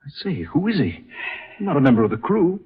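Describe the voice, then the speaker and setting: A man's voice: on the high side, big pitch swings, fairly quick, slightly rough, full character voice. An actor playing a clipped, commanding leading man in an old-time radio drama. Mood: skeptical, alert. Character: brisk, skeptical leading man, commanding yet tender. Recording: muffled, tinny vintage radio recording.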